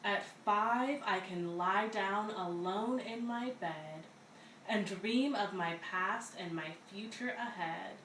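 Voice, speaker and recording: A woman reading a children's picture book aloud in an expressive, deliberate way, as a story-time reader. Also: mid-range voice. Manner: slow, deliberate, animated delivery for young children. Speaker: read-aloud story reader at a community center. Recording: roomy, distant mic, faint background noise.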